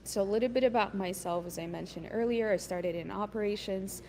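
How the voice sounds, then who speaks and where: A woman's voice: mid-range voice, brisk, warm tone, slight background noise. A woman from Ethiopia presenting an online workshop, friendly and encouraging, with many ums and uhs. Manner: frequent fillers, friendly and encouraging.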